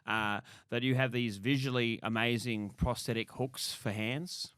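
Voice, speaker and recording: A man's voice: medium pitch, steady tone, unhurried, fairly bright tone, clear, resonant tone, dry recording, clean studio sound. A man in his thirties hosting a podcast, asking rambling questions with lots of laughter. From Australia. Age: 30s. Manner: chatty, giggly, rambling questions. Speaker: podcast host. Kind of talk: casual interview chat.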